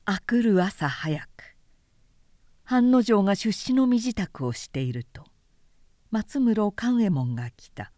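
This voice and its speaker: A woman narrating a literary audiobook in a measured, deliberate storytelling manner. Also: mid-range voice, animated, warm tone, no room sound, clean studio sound.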